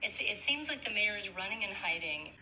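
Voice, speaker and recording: A woman making an earnest, measured but pressing argument as a caller questioning an official in a phone conversation. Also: mid-range voice, animated. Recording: faint background noise on phone call recording.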